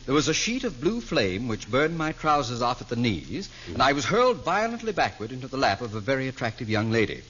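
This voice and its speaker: A formal, deadpan neighbor, whose pitch still swings widely through his long, prim sentences. The sound is dull and lo-fi, like an old radio broadcast. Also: brisk, hardly any pauses. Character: deadpan absent-minded weatherman neighbor, middle-aged. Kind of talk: 1940s radio comedy acting. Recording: scratchy 1940s radio broadcast.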